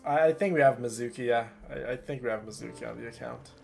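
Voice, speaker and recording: Gravelly, affectionate man hosting a game stream. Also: mid-range voice, fast, a little mellow, faint music behind. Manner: effusive, affectionate toward viewers. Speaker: livestream host. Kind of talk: casual chat while playing a game.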